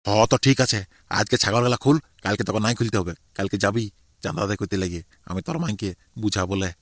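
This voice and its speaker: A man's voice: lowish voice, fast talker, dry recording, lightly acted. A man playing an old, placating village grandfather in an amateur cartoon, with a Purulia Bengali accent. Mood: gently persuading. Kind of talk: amateur cartoon comedy dialogue.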